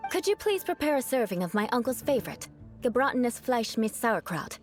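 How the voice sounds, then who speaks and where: A proud, lofty knight. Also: female voice, fairly high pitch, very animated, brisk. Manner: formal, cool and lofty, dry teasing. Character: proud aloof young woman knight bent on vengeance. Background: game music.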